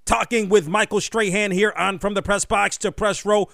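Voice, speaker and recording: High-voiced enthusiastic male radio host. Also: steady tone, moderate pace, vocal fry, pushed and bright. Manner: enthusiastic, emphatic. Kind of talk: radio interview hosting.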